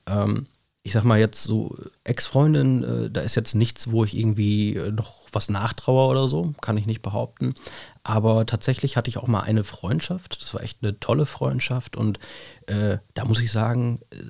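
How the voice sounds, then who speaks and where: A man, hoarse with a cold, musing in a relaxed chat and padding his talk with fillers and tag questions. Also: fairly low pitch, no room sound. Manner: thoughtful, rambling, many fillers and tag questions. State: hoarse with a cold, scratchy throat. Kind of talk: reflective casual chat.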